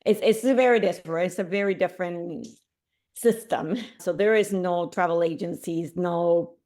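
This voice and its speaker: Woman, from Cuba, middle-aged, podcast guest, low voice, animated storytelling. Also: moderate pace. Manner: animated, with restarts and laughs. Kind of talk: conversational life story.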